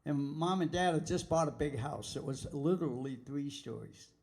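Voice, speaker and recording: Gravelly-voiced man hosting a casual chat. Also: fairly high pitch, moderate pace, darkish tone. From Massachusetts, USA. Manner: chatty, rambling with restarts. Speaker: show host.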